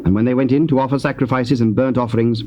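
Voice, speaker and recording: Solemn male scripture narrator, mid-pitched. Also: steady tone. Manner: solemn, measured storytelling. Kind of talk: scripted narration of dramatized King James scripture. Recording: dull, noisy old cassette tape.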